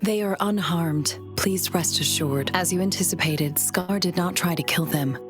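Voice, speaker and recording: A woman voicing a low formal loyal guard. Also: normal speed, lightly acted. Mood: reassuring. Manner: formal, respectful, reassuring. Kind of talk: game cutscene voice acting. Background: game music.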